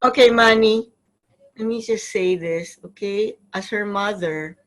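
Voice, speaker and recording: A woman's voice: mid-range voice, a bit bright, full character voice, poor audio. A woman in a staged play reading, playing an anxious, emotional immigrant wife and mother, pleading with her family.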